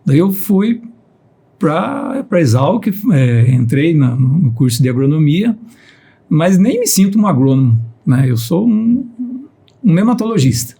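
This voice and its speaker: Husky Piracicaba-accented man in his fifties. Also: on the high side, measured pace. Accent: Piracicaba countryside Brazilian Portuguese. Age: 50s. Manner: repeats words while starting sentences, steady explanatory tone. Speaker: guest scientist. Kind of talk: podcast conversation.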